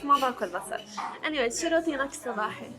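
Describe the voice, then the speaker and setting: High-pitched, fast-talking young Levantine woman. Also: lively intonation, over music. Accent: Levantine Arabic. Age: early 20s. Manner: slips English words into her Arabic. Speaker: vlog host. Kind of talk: casual chat to camera.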